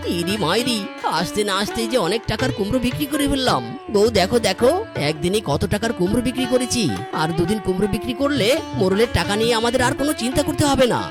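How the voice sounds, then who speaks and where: নারীকণ্ঠে উঁচু গলার উত্তেজিত গরিব কাঠুরে শিয়াল. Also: female voice, a little high, animated, a little fast, over music, lightly acted. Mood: excited. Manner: exclaiming catchphrases, dramatic. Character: poor excitable male woodcutter fox, devoted husband. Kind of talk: cartoon character voice acting.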